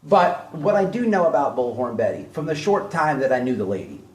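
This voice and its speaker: A man's voice: medium pitch, sing-song, brisk, darkish tone. A man giving opinionated drama commentary in a blunt, mocking way, hammering points home with repetition. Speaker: online commentary host. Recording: echoey room, distant mic.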